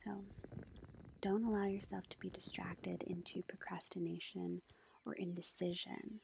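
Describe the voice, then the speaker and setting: Woman playing a creaky whispering sweet witch girlfriend. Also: on the low side, steady tone. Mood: gentle, reassuring. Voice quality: soft, breathy, creaky whisper. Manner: slow, gentle, reassuring. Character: sweet, gentle witch girlfriend reading tarot cards. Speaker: ASMR roleplay performer. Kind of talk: whispered ASMR roleplay tarot reading. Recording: faint background noise, lo-fi.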